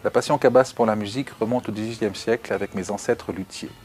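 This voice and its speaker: A man's voice: fairly low pitch, steady tone, fast, darkish tone, faint music behind. A man giving a prepared statement as a company spokesman. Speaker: company spokesman from founding family.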